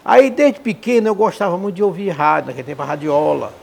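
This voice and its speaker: Creaky, higher-voiced Northeastern Brazilian man. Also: fast, a little mellow, a little hiss or hum. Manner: emphatic, repeats phrases for emphasis. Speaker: radio professional and columnist, interviewee. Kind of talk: reminiscing interview testimony.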